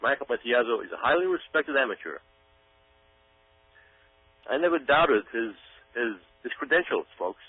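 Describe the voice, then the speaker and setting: A man's voice: mid-range voice, sing-song, moderate pace, pauses often, slightly rough, dry recording. A man giving an emphatic, folksy monologue commentary, speaking directly to his listeners as if explaining his case. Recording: tinny phone line with hum.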